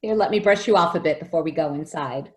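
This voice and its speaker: A woman's voice: low voice, fast, close to an everyday voice. A woman playing a caring, motherly but firm nanny in an old-time radio play. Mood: tender. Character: caring, protective nanny to a young girl. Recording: echoey room with noise and clicks.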